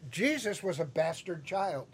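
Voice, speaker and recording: A man's voice: high-pitched, sing-song, a little slow, breathy edge. A man from Chicago with a Chicago accent, preaching a sermon as pastor in a conversational, teasing way and asking the congregation questions. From Chicago, Midwest US. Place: church sanctuary.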